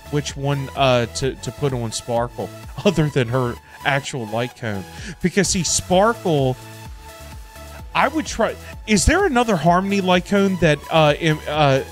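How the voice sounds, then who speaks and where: Middle-aged American man, fairly high voice, casual gaming streamer, rambling and slangy, music playing behind. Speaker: livestream host, gamer. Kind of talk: casual livestream chat. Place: indoor home streaming setup. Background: background music.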